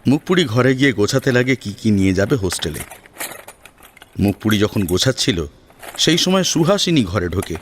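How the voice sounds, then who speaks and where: A man giving expressive scripted narration of a story as its narrator. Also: lowish voice, normal speed, slight background noise.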